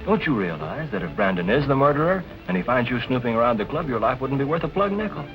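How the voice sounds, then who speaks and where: An actor playing an earnest, defensive husband under suspicion, in a 1940s film noir style. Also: male voice, mid-range voice, sing-song, quick pace, muffled recording, lightly acted. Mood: concerned. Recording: old film soundtrack with music behind.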